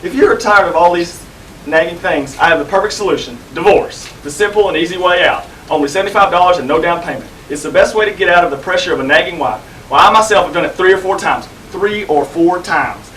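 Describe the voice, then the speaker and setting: A man playing a folksy Southern divorce lawyer giving a quick huckster sales pitch in a put-on drawl. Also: fairly bright tone. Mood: salesy. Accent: American Southern (put on). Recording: distant, lightly noisy amateur recording.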